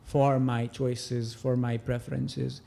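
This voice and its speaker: A man from Nepal in his thirties with a Nepali accent, a guest talking through his experiences in a casual interview, pausing often and filling gaps with "uh" and "you know". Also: medium pitch, little pitch movement, vocal fry, warm tone. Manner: thoughtful, hesitant, many fillers like "uh" and "you know". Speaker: podcast guest.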